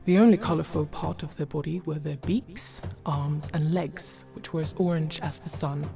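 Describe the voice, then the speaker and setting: Woman narrator, pretty low voice, measured storybook reading, loud music behind her. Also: fairly even intonation, vocal fry.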